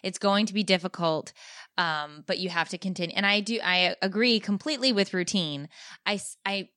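A woman's voice: mid-range voice, normal speed, bright, forceful tone, dry recording, studio quality. A woman in her thirties co-hosting a casual chat, blunt and swearing, reading parenting tips aloud with exasperated asides. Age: 30s. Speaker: podcast co-host.

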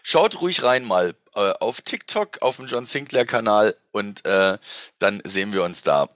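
A man with a fairly low voice chats as an interview guest. He is casual and easygoing in his wording, and the sound is very dry with no room around it. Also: animated, unhurried, a bit bright, dry recording, muffled recording.

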